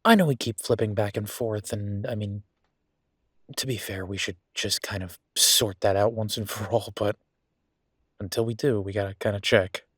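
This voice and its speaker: A man's voice: lowish voice, steady tone, moderate pace, fairly bright tone, husky, no room sound, studio quality. A man playing an affectionate young werewolf boyfriend in an intimate audio roleplay, talking in a casual, hesitant, rambling way. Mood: tentative, affectionate.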